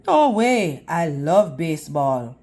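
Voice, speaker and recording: A woman's voice: low-pitched, sing-song, slow, darkish tone, full character voice. A woman voicing an earnest, frustrated boy in a storybook, blunt and protesting. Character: earnest, frustrated boy who loves baseball.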